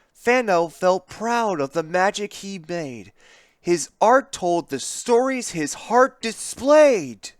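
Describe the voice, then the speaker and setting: A narrator reading a children's picture book aloud in an expressive, theatrical way, with deliberate emphasis on the rhymes. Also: mid-range voice, no room sound, clean studio sound.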